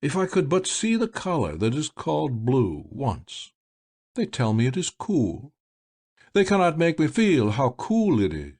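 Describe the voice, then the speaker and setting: A man's voice: on the high side, wildly swooping pitch, unhurried, a little mellow, voice pushed up, exaggerated voice. Man putting on a showy blind magician. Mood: wistful. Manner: theatrical, grand exclamations. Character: flamboyant blind male stage magician, sly.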